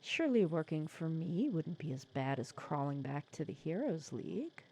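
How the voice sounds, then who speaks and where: A woman playing a sly, secretly caring female supervillain in an intimate ASMR roleplay, casual and teasing, softly breathy. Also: sits low, big pitch swings, normal speed, full character voice. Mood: teasing, coaxing. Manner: casual, teasing, persuasive, sardonic.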